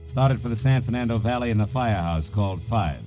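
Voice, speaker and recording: An actor playing a wry, persistent private eye in 1950s radio noir, clipped and slangy, on an old recording. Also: male voice, on the low side, expressive, fast, highs cut off, loud music behind the voice, close to an everyday voice. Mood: wry narrating. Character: hard-boiled male private eye, wry, persistent, fast-talking. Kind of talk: 1950s radio noir acting, first-person narration and dialogue.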